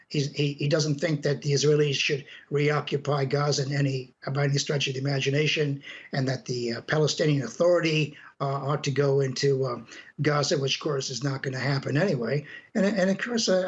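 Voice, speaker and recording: An older man with a fairly high voice comments on foreign affairs in a news interview. He speaks bluntly and emphatically, often halting to find the next phrase. The sound is slightly dull and lo-fi.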